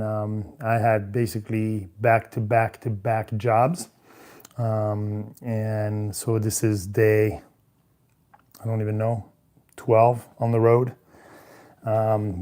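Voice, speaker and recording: Hoarse male vlogger, hesitant and trailing, level low-key voice, dark timbre. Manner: halting, many ums, trailing off. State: losing his voice. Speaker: traveling cinematographer vlogger. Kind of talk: casual vlog talk while unpacking gear. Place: hotel room.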